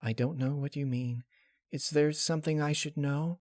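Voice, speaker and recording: A man voicing a shy, gentle young female pony who speaks softly, slowly and hesitantly in a storybook reading. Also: on the high side, fairly even intonation, dry recording, studio quality, voice pushed up, natural voice. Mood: nervous, awkward. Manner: soft, slow, hesitant. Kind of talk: dramatic fan-fiction character reading.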